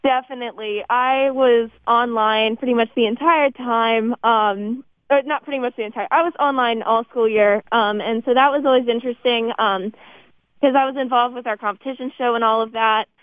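High teenage girl on a phone-like line. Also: fairly even intonation, normal speed, smooth, no room sound. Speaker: student actor being interviewed. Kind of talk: casual chat.

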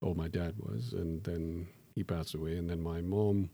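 A man's voice: sits low, fairly even intonation, normal speed, gravelly, warm tone, husky, no echo. A middle-aged man, a professor, talking in a thoughtful, self-deprecating way in a conversational interview, with many fillers and restarts. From born in Tanzania to Indian parents.

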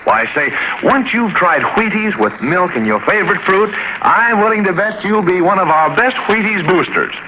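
A man announcing a 1940s radio serial and pitching its sponsor with chummy, emphatic salesmanship. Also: expressive, moderate pace. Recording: noisy old 1940s radio recording.

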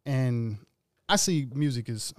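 A man's voice: mid-range voice, expressive, slow pace, no echo, studio quality. A man, a musician guest, talking casually and rambling in slangy speech about his music. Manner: laid-back, rambling, slangy. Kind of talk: casual interview talk.

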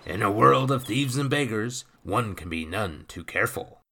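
A man putting on a swaggering rogue with a rough lilt, gravelly and bright-edged. His talk swings up and down with lively, scheming confidence, loud and pleased with himself, like a storybook thief. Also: fairly low pitch, moderate pace, slight background noise, voice pushed up, full character voice. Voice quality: gravelly, swaggering, ungrammatical dialect. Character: roguish scheming male thief, cocky, adult.

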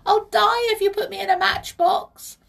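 A woman voicing a tiny, indignant female pixie who pleads and squeals in a high little voice, in a children's story. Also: fairly even intonation, normal speed, vocal fry, a bit bright, recorded from a distance, heavily acted. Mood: pleading, distressed.

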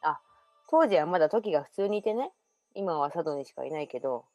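Woman acting a low-voiced girl revealing a past life, confiding tone, dry close sound. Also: animated, fairly quick, dark tone, no echo, muffled recording, soft background music. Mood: mysterious. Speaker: radio drama performer. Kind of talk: amateur radio drama acting.

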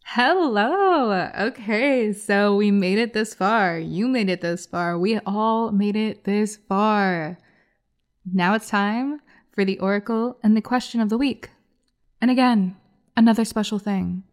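A woman's voice: medium pitch, unhurried. A woman podcast host, a little congested, doing a solo card reading in an upbeat, encouraging way. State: congested from a cold.

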